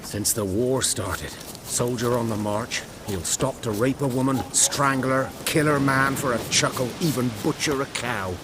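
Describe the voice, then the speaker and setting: A man's voice: mid-range voice, moderate pace, background noise, natural voice. A man playing a wary, bitter village hunter in a video game, with a folksy, plain-spoken manner. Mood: grim, bitter.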